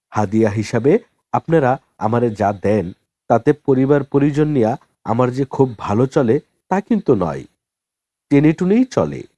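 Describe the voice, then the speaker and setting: A man's voice: medium pitch, dark tone, dry recording. A man voicing a humble village imam in an audiobook, making an earnest, formal plea to his congregation. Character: humble village mosque imam, pleading over unpaid wages.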